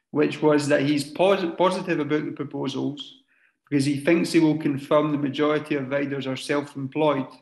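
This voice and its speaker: Gravelly-voiced man asking from an echoey room. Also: medium pitch. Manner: measured, explaining carefully. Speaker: audience member asking a question. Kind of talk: online debate question.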